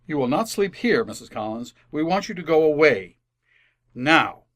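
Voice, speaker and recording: A man's voice: fairly high pitch, very animated, fairly bright tone. Father, played by a man: wordy, strained and sarcastic head of a household, radio comedy. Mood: exasperated. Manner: formal sarcasm, halting exasperation. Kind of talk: old-time radio comedy acting.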